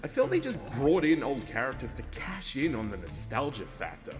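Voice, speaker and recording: A man's voice: medium pitch, huge pitch swings, normal speed, long reverb tail, over music. A man voicing a thoughtful, critical book club member in a cartoon parody. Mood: analytical.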